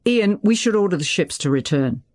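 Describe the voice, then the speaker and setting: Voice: mid-range voice, animated, fairly quick, a little mellow, voice pushed up, close to an everyday voice. A narrator voicing a brusque, decisive woman official who speaks urgently and questions hard. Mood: probing.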